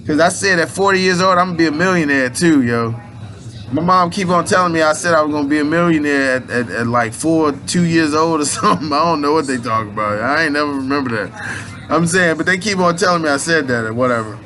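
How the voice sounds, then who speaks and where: A man's voice: fairly high pitch, quick pace, sharp tone. Rambling slangy man in his late thirties. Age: 30s. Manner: loose, repetitive, slangy street talk with frequent restarts. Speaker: aspiring minister and motivational speaker. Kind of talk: rambling casual spiritual monologue.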